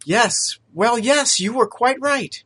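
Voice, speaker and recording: A man voicing an earnest, polite young gentleman in a storybook reading, who stammers now and then. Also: very high voice, animated, slow pace, breathy edge, pitched above the performer's own voice, exaggerated voice. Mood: friendly.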